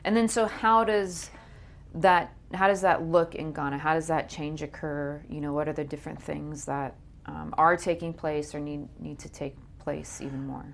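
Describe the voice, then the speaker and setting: A woman's voice: low voice, steady tone, moderate pace, gravelly, a little hiss or hum. A woman hosting an interview, encouraging her guest with frequent brief affirmations. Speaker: interview host. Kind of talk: casual interview chat.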